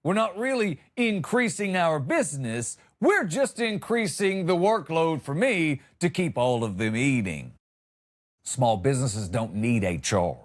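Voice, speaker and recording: A man with a fairly high voice delivers a comic monologue. His pitch moves up and down a great deal as he makes each point. He sounds exasperated and sarcastic, leaning hard on his words like a podcast host on a rant. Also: sing-song, measured pace.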